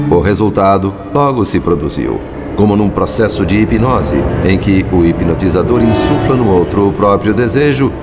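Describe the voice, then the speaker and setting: A man narrating a scripted audiobook in a formal, literary and dramatic manner. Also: sits low. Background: music.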